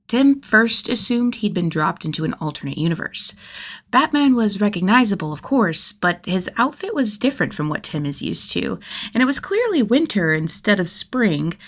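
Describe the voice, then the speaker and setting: A woman narrating fan fiction in an expressive, conversational way, with youthful enthusiasm in the reading. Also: on the low side. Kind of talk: animated scripted story narration.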